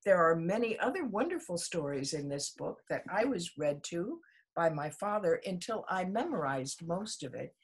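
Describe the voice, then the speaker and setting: A woman storyteller reading a children's story aloud expressively, in a husky voice. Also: low-pitched.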